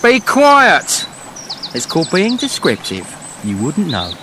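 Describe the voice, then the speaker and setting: A man's voice: on the high side, wildly swooping pitch, slow, noisy background, heavily acted. An actor playing a fussy, self-important writer narrating his fairy tale in a comic radio play, florid and testy.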